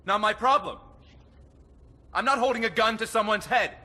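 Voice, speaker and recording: An actor playing a young cartel chemist in a game, defiant and whiny, agitated and self-justifying, with a strained husky voice. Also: male voice, high voice, normal speed, sharp tone, full character voice. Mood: indignant.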